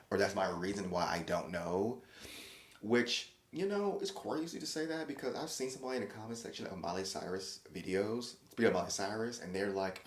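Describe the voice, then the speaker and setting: A man with a low voice chats on his own podcast, rambling and restarting his sentences. He slips into lively quoted voices now and then. The sound is roomy and echoey. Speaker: podcast host. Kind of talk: casual solo podcast commentary.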